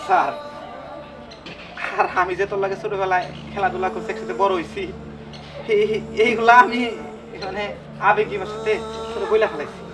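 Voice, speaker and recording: An actor playing a warm-hearted, eager village friend in a drama, with a rural Bangladeshi accent. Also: male voice, high voice, background noise, lightly acted. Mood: hurt, humble. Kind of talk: Bangla short-film drama acting.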